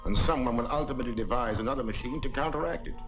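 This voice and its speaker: An actor playing a calm, scheming villain in 1940s serial style. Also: male voice, mid-range voice, racing, over music, natural voice. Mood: coldly menacing. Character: scheming calm villain plotting sabotage and murder. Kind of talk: 1940s film serial acting. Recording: dull lo-fi 1940s film soundtrack.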